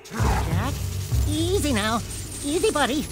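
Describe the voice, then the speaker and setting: A man voicing a small, cheeky, excitable talking animal sidekick in a video game, wisecracking and complaining dramatically. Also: very high voice, slow, full character voice. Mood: nervous. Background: music.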